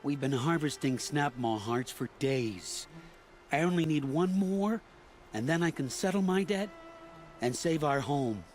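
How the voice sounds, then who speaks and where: A man's voice: fairly high pitch, animated, measured pace, a little mellow, soft background music. An actor playing a desperate, stubborn farmer turned hunter in a video game, defensive and insistent in his delivery. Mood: pleading. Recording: faint ambient noise under the voice.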